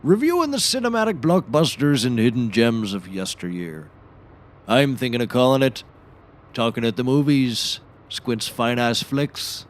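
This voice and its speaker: An actor playing a gruff, deadpan outdoorsman and old sea hand in a scripted comedy audio show, with a dry storytelling manner. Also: mid-range voice, unhurried. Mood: dry, expansive.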